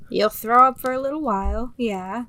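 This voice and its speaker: A woman's voice: a little high, moderate pace, bright, forceful tone. A woman acting a weary, streetwise underworld survivor in a fantasy audio drama, reassuring and wry, with a casual, coaxing manner.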